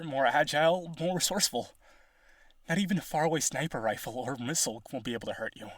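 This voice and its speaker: A man playing a possessive, needy vampire aristocrat in a romantic audio roleplay, coaxing the listener with eager theatrical delivery and a breathy voice. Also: on the high side, no room sound, studio quality.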